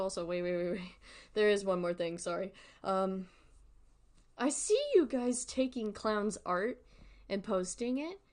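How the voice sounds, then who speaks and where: A woman streamer talks candidly and casually to her viewers. She moves in a halting way, doubling back on words and repeating them for emphasis, with frequent little asides. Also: high voice. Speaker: streamer and artist. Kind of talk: candid casual livestream commentary.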